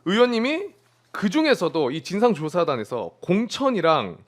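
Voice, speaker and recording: A man's voice: high-pitched, wildly swooping pitch. A man hosting a political talk show in a chatty way, often echoing his guests' words. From Jeolla region, South Korea. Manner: chatty, quick reactions, echoes guests' words. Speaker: host.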